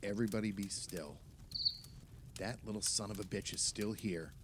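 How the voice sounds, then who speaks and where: A man's voice: fairly low pitch, normal speed, background noise, lightly acted. An actor playing a fretful, self-doubting older male novelist in a naturalistic audio play, hesitant and prone to rambling complaint. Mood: agitated.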